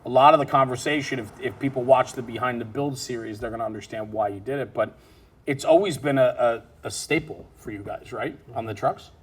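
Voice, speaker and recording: Husky-voiced man hosting an interview. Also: mid-range voice, a little fast. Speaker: interview host. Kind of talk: conversational interview about fire apparatus.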